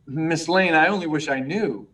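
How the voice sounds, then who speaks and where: A very high male voice from an actor as a meek young reporter in an old radio serial. He is earnest, polite and prone to stammer, heard a little away from the mic. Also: normal speed, sharp tone. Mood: bashful, puzzled. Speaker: actor in a live radio play. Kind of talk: 1940s radio serial acting. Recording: distant, far from the mic.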